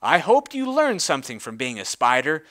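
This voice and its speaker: A wise, calm female spider wizard , voiced by a story reader, makes solemn pronouncements. The voice is bright, with expressive storybook pitch. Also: normal speed.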